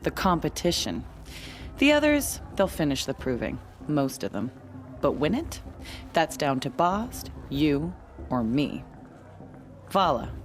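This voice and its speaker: Lively, low-voiced young female rival. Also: takes it slowly, full character voice. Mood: confident, teasing. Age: young adult. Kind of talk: video game cutscene acting.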